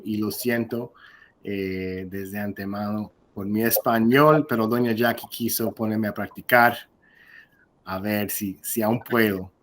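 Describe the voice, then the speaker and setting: Soft-spoken man lecturing over video. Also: fairly low pitch. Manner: halting and earnest, frequent restarts. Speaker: guest lecturer. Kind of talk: formal conference lecture.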